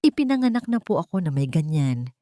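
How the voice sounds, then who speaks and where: Lady doing a timid wronged young wife, humble and pleading, lively pitch, dry close sound. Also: fairly quick, no echo. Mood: fearful, pleading. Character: meek, frightened young daughter-in-law, humble and wronged.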